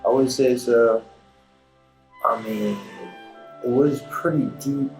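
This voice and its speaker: A man's voice: medium pitch, steady tone, takes it slowly, darkish tone. A man interviewing in a casual, informal way, with colloquial phrasing and simple, chatty questions and comments. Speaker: podcast interviewer. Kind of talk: casual chat. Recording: roomy echo, music underneath. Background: classical background music.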